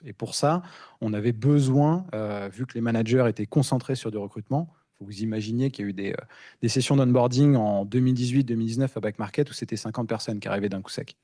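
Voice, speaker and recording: A man's voice: medium pitch, warm tone, no room sound, clean studio sound. A man from giving an informal, explanatory tech conference talk, often repeating words as he searches for phrasing. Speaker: principal engineer speaking on a panel.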